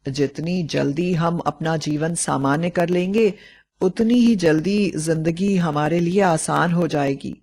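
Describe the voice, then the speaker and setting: A woman storyteller voicing a kindly middle-aged man who advises gently and formally. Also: fairly low pitch. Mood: calm, practical. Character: kindly middle-aged family friend, a calm consoling man.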